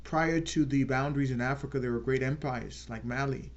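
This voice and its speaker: A man hosting his own channel, giving an earnest unscripted political talk and slowing down to stress key words. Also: medium pitch, lo-fi sound. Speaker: channel host.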